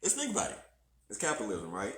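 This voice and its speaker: A relaxed Southern man co-hosts a casual live stream, laughing easily and tossing out devil's-advocate points. His voice is low but moves widely in pitch. It is recorded in an echoey space. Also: fast talker, a little hiss or hum. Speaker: podcast co-host. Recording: roomy echo.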